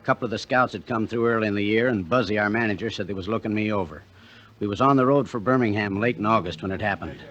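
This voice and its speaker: A man's voice: on the low side, fast, fairly bright tone, lo-fi sound, natural voice. An actor playing a weary young minor-league catcher in a radio drama, telling his story in plain, slangy talk. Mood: brooding, wistful. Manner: plain, slangy, ungrammatical working-class talk. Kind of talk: 1950s radio drama narration and acting.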